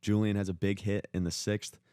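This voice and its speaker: A young man casually telling sports stories among friends as a podcast co-host, in an informal, slangy, halting way. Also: lowish voice, level tone, dark tone, no echo, clean studio sound. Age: young adult.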